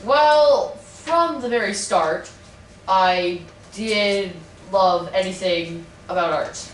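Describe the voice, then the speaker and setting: A woman's voice: mid-range voice, slow pace, close to an everyday voice. A young woman in her own voice playing a boastful, touchy male Renaissance painter in an amateur mock interview, trading sarcastic comebacks. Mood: snippy, boastful. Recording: echoey room, distant mic, faint noise.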